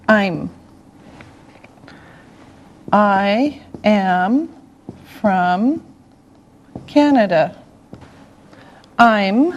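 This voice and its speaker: Woman, English teacher, slightly high voice, very slow and deliberate delivery, patient and encouraging. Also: a little hiss or hum. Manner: very slow and deliberate, one word at a time, with repetition. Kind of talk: scripted language lesson for beginners.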